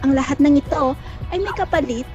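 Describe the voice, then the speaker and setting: A woman's voice: high-pitched, a little fast, a little mellow, dull audio, soft background music, lightly acted. A woman playing an eager, hopeful young villager in an amateur radio drama, with a Filipino accent.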